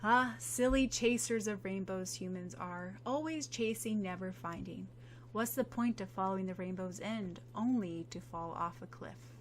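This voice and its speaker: A woman's voice: medium pitch, slight background noise, natural voice. A woman voicing a smug, taunting sphinx spirit. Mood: mocking. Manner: slow, teasing, mocking sing-song. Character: smug lion-headed sphinx riddle spirit.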